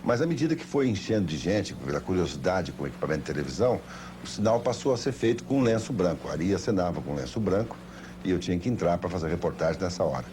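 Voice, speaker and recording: An older Brazilian man with a very deep voice reminisces like a seasoned sports broadcaster. He talks quickly and tells his anecdotes with emphasis. The sound is an old television tape with clear background noise. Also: sing-song. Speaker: veteran sports broadcaster giving testimony. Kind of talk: anecdotal interview recollection.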